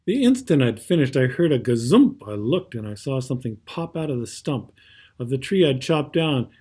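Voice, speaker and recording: A man's voice: medium pitch, very animated, normal speed, dark tone, husky. A man reading a rhyming children's story aloud with theatrical, rhythmic phrasing and brief asides. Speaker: storybook reader.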